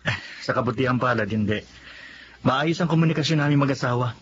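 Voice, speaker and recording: A man playing a steady, sensible Filipino friend who reasons and placates, in radio drama style. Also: medium pitch, a little fast, lightly acted. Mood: calm, sensible. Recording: dull audio with background noise.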